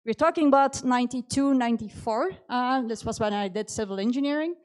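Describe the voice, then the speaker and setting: A woman's voice: on the high side, fairly quick. Chatty middle-aged woman, slight Kiwi lilt. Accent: Belgian English with a slight New Zealand tinge. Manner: conversational, with frequent "you know" and restarts. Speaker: conference speaker. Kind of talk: motivational talk about her career.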